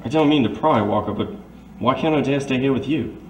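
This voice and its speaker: An actor playing a detective's grave, discreet friend, formal and measured, in a radio drama. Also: male voice, mid-range voice, natural voice. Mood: concerned. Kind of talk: old-time radio detective drama acting. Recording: echoey, faint background noise.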